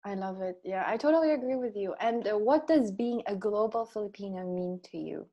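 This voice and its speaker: A woman's voice: medium pitch, expressive, fairly quick, highs cut off. A woman hosting a podcast interview, asking questions in a casual, supportive way and agreeing often. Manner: supportive, with frequent agreeing back-channels. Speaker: podcast host.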